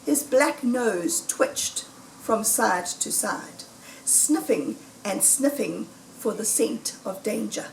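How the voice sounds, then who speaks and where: A woman reading a children's story aloud in a deliberate, dramatic, suspenseful storytelling manner. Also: fairly high pitch, animated, vocal fry, slight background noise. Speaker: storybook reader. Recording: roomy echo, distant microphone.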